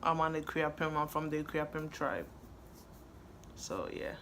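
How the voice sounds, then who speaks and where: A woman's voice: low-pitched, expressive, measured pace, a bit bright, a little hiss or hum. A woman from Ghana, chatting casually and laughing easily as she answers questions in a vlog. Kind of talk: casual chat.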